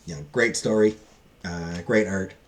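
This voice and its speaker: A man giving a casual, unscripted book review in a halting, rambling, self-deprecating way. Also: low-pitched.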